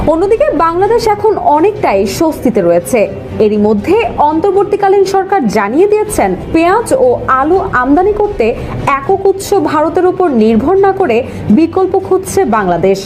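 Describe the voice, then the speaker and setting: A woman's voice: very high-pitched, darkish tone. A woman delivering scripted news narration.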